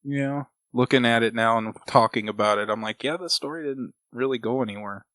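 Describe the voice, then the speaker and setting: A man speaks as a podcast co-host in an informal, conversational style. His voice is bright and punchy at a middling pitch. It is recorded very dry, with no room sound to speak of. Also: brisk, dry recording. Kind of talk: casual chat reviewing old radio shows.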